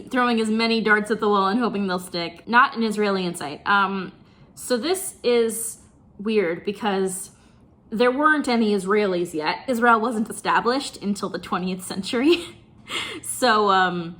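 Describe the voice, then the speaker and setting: A woman's voice: a little high, fairly bright tone. A woman doing casual reaction commentary in a wry, amused, sarcastic way. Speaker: reaction video host.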